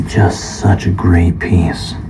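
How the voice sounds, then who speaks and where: Male, very low voice, calm ASMR shop appraiser, flat and unhurried, half-whispered at times, clear background noise. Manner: calm, measured, partly whispering. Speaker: guitar shop appraiser in a roleplay. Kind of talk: ASMR roleplay, soft-spoken.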